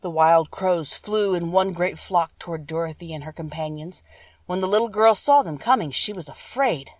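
Lower-pitched woman narrating a storybook. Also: normal speed, no room sound, recorded from a distance. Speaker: audiobook narrator. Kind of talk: scripted children's story narration.

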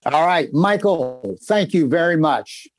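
A man's voice: fairly high pitch, expressive, takes it slowly, dry recording. A man who is a show regular, talking casually at a live show. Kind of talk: casual chat. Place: live show at a coffee parlor. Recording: live venue with audience noise.

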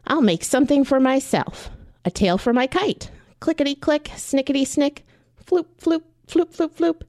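Woman voicing a huffy, lively storybook porcupine. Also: sits high, moderate pace, no room sound, clean studio sound. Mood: indignant. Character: huffy, stubborn but caring female porcupine knitter. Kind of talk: children's storybook character voice.

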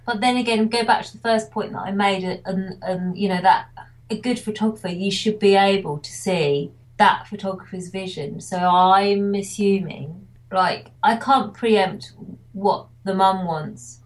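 A woman's voice: mid-range voice, measured pace, rough recording. English woman wedding photographer, chatty interviewee, rambling and restarting. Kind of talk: casual interview.

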